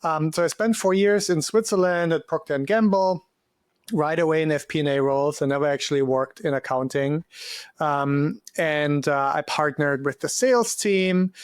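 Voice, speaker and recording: German guy explaining stuff in an interview, kinda high voice, lots of ums, dry close mic. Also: normal speed, clear, resonant tone, no echo. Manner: thoughtful, explanatory, with frequent fillers. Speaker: guest, finance educator. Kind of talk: conversational interview answers.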